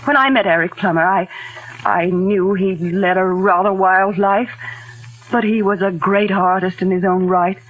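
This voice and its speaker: A woman's voice: medium pitch, steady tone, unhurried, lo-fi sound, lightly acted. An actress playing an anguished, sophisticated mother in a theatrical, intense 1940s radio drama style. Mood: anguished, confiding. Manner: theatrical, intense, earnest delivery. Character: anguished, sophisticated society mother confessing to a shooting. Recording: old 1940s radio recording.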